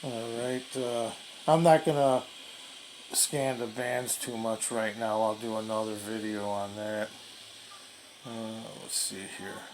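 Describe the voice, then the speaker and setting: Casting: male hobby reviewer, unhurried and halting, muttering through a casual unboxing, audible noise on the recording. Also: on the low side, steady tone. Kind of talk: casual unboxing commentary, thinking aloud. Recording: clearly noisy home video recording.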